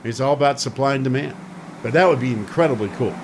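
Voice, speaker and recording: A man's voice: mid-range voice, quick pace, slight background noise. A man hosting a sports talk radio show, chatting with a co-host and taking phone calls in an emphatic, repetitive way. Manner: chatty, emphatic, repeats himself.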